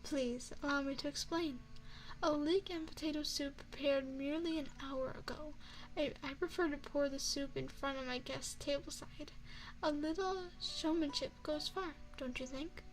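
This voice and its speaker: A woman playing an elegant, flirtatious vampire chef in an ASMR roleplay, speaking formally and courteously with deliberate, teasing phrasing into a close microphone. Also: high-pitched, close to an everyday voice, poor audio. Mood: gracious, proud. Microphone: close binaural microphone.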